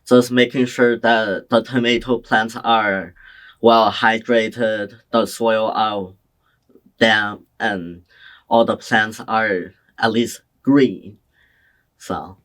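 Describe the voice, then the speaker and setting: A young man from China answering oral history interview questions in a slow, hesitant, soft-spoken way. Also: on the low side, flat delivery. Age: young adult. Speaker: interviewee, community college student.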